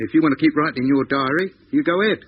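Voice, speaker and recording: A man acting a chirpy, excitable Cockney spaceship radio operator in a 1950s radio drama, with matey, slangy speech. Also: on the high side, rapid-fire, natural voice. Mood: easygoing. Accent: London Cockney. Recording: dull, noisy 1950s radio recording.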